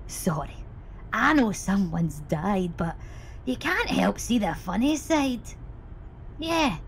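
Gossipy woman cabbie, very lively intonation. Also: on the high side, a little hiss or hum, exaggerated voice, low-quality recording. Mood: amused, musing. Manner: gossipy, amused, musing aloud. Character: chatty, wry woman taxi driver in rural Scotland. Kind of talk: audio drama monologue, conversational testimony.

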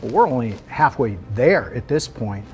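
A man's voice: mid-range voice, expressive, normal speed, darkish tone, highs cut off. Middle-aged man interviewed over prominent music. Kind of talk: interview for a team documentary. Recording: music bed under speech.

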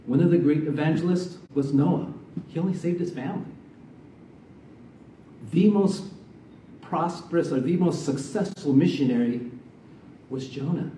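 A middle-aged man, a missionary, giving a sermon and prayer in a church, soft-spoken and halting with many fillers. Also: a little high, a little hiss or hum. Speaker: missionary preacher. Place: church sanctuary, medium-sized. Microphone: stand mic from a distance. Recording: roomy church sound, mic far off.

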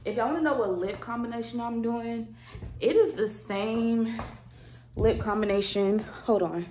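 A woman's voice: a little high, unhurried, a little mellow, a little hiss or hum. A young woman, chatting casually to her vlog viewers at home, filmed on a handheld phone. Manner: chatty, addresses viewers as y'all. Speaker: vlogger. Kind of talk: casual vlog chat to camera. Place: apartment kitchen and living room indoors. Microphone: phone camera held at arm's length.

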